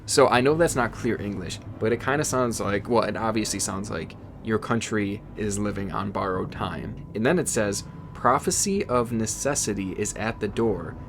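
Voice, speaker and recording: A man whose first language is Igbo, hosting a casual vlog in an excitable, swearing, often disbelieving way. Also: fairly low pitch, moderate pace, hardly any pauses, a little hiss or hum. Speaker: YouTube host.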